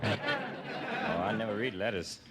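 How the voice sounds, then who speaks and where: A man's voice: on the low side, fairly even intonation, moderate pace, over a noisy crowd, heavy background noise. An American man answering a quiz host as a contestant, reserved, brief and matter-of-fact. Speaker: quiz contestant, postal clerk.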